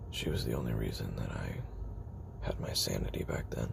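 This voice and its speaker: A man performs a haunted, weary assassin confiding his memoir in an audio drama. His voice is extremely low and thick with gravelly fry, often dropping near a whisper. He speaks haltingly and broods between phrases, over clearly audible noise. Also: heavily acted. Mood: sorrowful, halting. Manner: halting, brooding, with trailing pauses. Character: haunted, weary male former child assassin recording tape memoir. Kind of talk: confessional dramatic monologue in audio roleplay. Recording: noisy homemade recording with background ambience.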